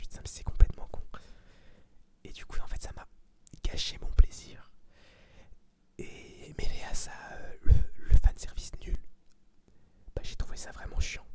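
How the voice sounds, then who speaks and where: An eighteen-year-old man gives an informal ASMR review in a breathy whisper, slangy, sweary and blunt. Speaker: ASMR creator reviewing a film.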